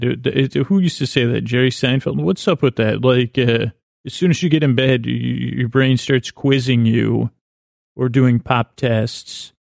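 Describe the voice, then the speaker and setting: A man hosting a sleep podcast, rambling softly in a halting, self-correcting way with many pauses. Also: medium pitch, dry recording, studio quality. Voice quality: creaky, soft, halting with many restarts. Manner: meandering, self-correcting, drowsy pace. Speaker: podcast host.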